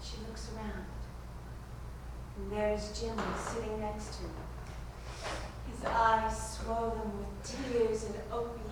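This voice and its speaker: An actress playing a vain, emotional stage star who declaims melodramatically in broad theatrical comedy. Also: female voice, medium pitch, level tone, slow pace, close to an everyday voice. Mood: tragic, languid. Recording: distant, very echoey, noisy stage recording.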